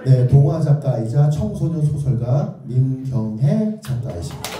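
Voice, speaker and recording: A man's voice: medium pitch, expressive, moderate pace, crowd noise. A man giving an interactive lecture in a theatrical storytelling manner, putting either-or questions to his listeners. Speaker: children's author and lecturer. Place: indoor lecture room with strong echo. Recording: very echoey room, distant microphone.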